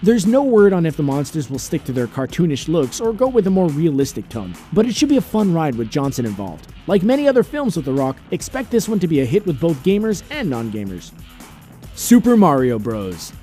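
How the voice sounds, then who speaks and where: A man's voice: fairly high pitch, very animated, brisk, mellow. A man reading a scripted entertainment countdown as its narrator. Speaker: narrator of a video game and film countdown. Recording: music bed under the voice. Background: background music.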